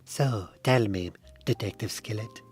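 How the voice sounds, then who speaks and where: A man's voice: lowish voice, measured pace, music in the background, voice pushed up, close to an everyday voice. Florence, a man doing a coaxing femme fatale. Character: sultry scheming woman, a femme fatale.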